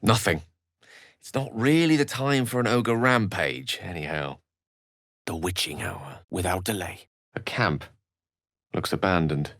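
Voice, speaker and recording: A man's voice: low-pitched, animated, unhurried, long pauses, fairly bright tone, dry recording, studio quality, full character voice. A man voicing an eloquent, bookish wizard in a video game, articulate and theatrical, with ornate scholarly phrasing and a wry streak. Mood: wry, conversational. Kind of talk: scripted video game character dialogue.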